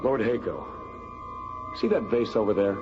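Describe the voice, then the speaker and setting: A male actor plays a commanding radio-drama hero with a lowish voice. He is firm and brisk, on a dull recording with loud music behind. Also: lively intonation, natural voice. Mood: stern. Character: commanding hero posing as a sky god.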